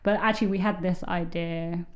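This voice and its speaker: Young british woman podcast cohost, kinda low voice, rambly chatty explaining. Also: steady tone, moderate pace. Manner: chatty, explains at length with many fillers. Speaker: podcast co-host. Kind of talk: casual chat.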